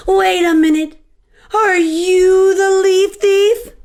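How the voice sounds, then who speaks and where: A woman voicing an excitable, silly squirrel in a storybook, with dramatic exclamations and drawn-out words. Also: extremely high pitch, pitched above the performer's own voice, heavily acted. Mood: panicked, accusing.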